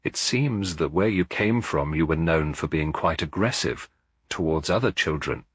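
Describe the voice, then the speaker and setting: A man voicing a gentle but firm elderly lady detective in a storybook mystery, polite and probing. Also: very deep voice, lively intonation, normal speed, breathy edge, dry recording, clean studio sound, full character voice.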